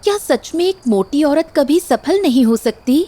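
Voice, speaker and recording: A woman's voice: high-pitched, animated, normal speed, mellow. A woman voicing a sincere, hopeful young village wife in a cartoon moral story, with earnest emotion. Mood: hurt.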